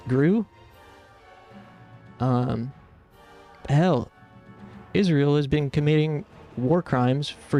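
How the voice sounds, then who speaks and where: Gravelly-voiced man in his thirties. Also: fairly high pitch, a little mellow. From United States. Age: 30s. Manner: rambling, many fillers, earnest. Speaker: streamer. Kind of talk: casual chat with viewers.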